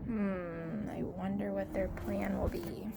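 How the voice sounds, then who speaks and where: A woman teacher reading a storybook aloud to children, pausing to comment and ask questions. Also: mid-range voice, steady tone, creaky, very noisy.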